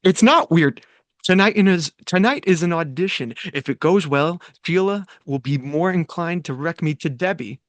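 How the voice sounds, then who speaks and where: A man putting on a boastful bro for a drama table read. He is cocky and slangy, slightly raised in pitch, on a dry recording. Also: normal speed, no room sound, close to an everyday voice. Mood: defensive.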